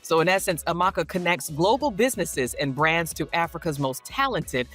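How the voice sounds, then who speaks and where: A woman's voice: fairly low pitch, animated, moderate pace, a bit bright, soft background music. A woman presenting and interviewing as a show host, with a polished, complimentary delivery.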